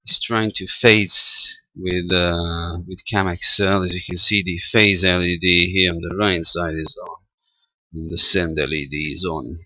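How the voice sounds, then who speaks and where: A man giving a casual, unscripted technical demonstration as a radio hobbyist, talking haltingly and half muttering to himself as he works. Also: sits low, fairly even intonation, sharp tone, dry recording. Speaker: amateur radio operator demonstrating equipment.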